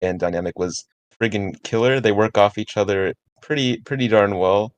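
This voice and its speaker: Halting, low-voiced man in casual talk. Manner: halting and repetitive, restarting words often. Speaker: participant giving script feedback. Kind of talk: casual spoken feedback.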